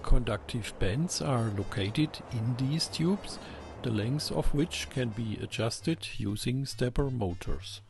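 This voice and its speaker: A man presenting a technical explainer in a measured, instructional way, reading scripted narration. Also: medium pitch, expressive, vocal fry, background noise. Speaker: presenter of an electronics channel.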